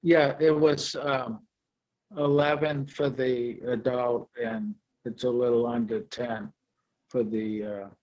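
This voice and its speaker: A man presenting at a virtual conference and answering questions, halting with many fillers. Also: medium pitch, flat delivery, a little mellow, highs cut off. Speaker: presenter, product seller. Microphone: video call.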